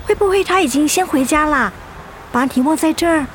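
Woman acting a very high-pitched, helpful young passer-by. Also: brisk, slight background noise, lightly acted. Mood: concerned, surprised. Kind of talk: Mandarin radio drama acting.

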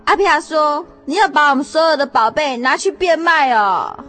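Exasperated radio drama wife, played by a woman: Taiwanese Mandarin accent, higher pitched, scolding, practical, incredulous, music bed. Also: animated, normal speed, fairly bright tone. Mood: disbelieving.

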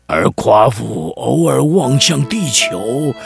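A man's voice: medium pitch, animated, slow, vocal fry, breathy edge, dry recording, studio quality, heavily acted. A man with a Taiwanese accent playing a jolly, chatty middle-aged village chief who tells tall tales in a theatrical, teasing way for a children's radio drama. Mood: dramatic storytelling. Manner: theatrical, teasing, stammering at times. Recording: music bed behind the voice.

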